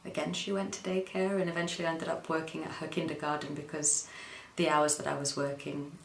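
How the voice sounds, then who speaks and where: A woman from the Netherlands tells her story in an interview, with a very low voice and plenty of gravelly fry. She speaks hesitantly, with many ums and pauses. The room is echoey, with faint noise underneath. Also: level tone. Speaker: interview guest. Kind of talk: personal account in an interview.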